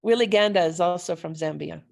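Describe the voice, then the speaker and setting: A woman's voice: lowish voice, brisk, vocal fry, a little mellow. A woman chatting enthusiastically on a video call, effusive and welcoming. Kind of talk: casual video call chat.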